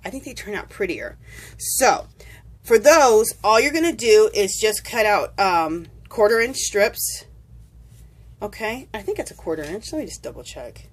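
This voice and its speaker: A woman's voice: medium pitch, animated, unhurried, breathy edge. Chatty woman with a cold, crafting. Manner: chatty, rambling, self-correcting. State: fighting a cold, sniffling. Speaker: crafting vlogger. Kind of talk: casual tutorial chat. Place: home craft table, indoors.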